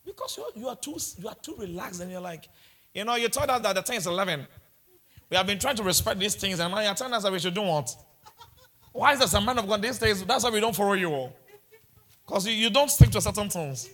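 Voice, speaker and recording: A man's voice: sits high, animated, quick pace, fairly bright tone. A man from Ghana with a Ghanaian accent, preaching a sermon and mixing in humorous asides. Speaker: pastor.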